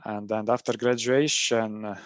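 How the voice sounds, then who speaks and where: A man's voice: on the low side, fairly even intonation, moderate pace. A young man from Estonia with an Estonian accent speaks in a career webinar, hesitating often and repeating "and, and" as he restarts his sentences. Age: young adult. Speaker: mechanical engineer on a career panel. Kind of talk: webinar talk.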